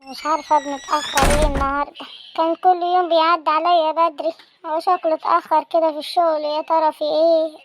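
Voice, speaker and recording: A woman's voice: sits high, little pitch movement, quick pace, slight background noise, natural voice. A young woman with an Egyptian accent voicing a lonely, frail old grandmother who pleads mournfully in an amateur skit. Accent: Egyptian Arabic. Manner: mournful, pleading, calls everyone "my dear".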